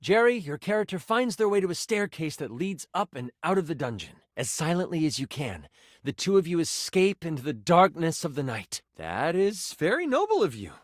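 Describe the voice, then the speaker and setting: An actor playing an excitable, kindly tabletop game master in a video game, narrating theatrically with expressive, dramatic delivery. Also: male voice, a little high, normal speed, no echo, clean studio sound. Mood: dramatic, immersive. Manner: expressive, dramatic, shifting into asides. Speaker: game master character in a dating sim game.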